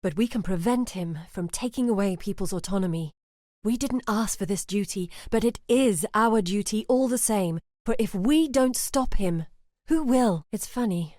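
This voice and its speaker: A woman's voice: medium pitch, lively intonation, moderate pace, a little mellow, dry recording, clean studio sound, close to an everyday voice. An actress playing an earnest, brooding woman scholar in a video game, with a reflective, theatrical delivery. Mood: resolute, then frustrated, weary. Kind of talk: dramatic video game voice acting.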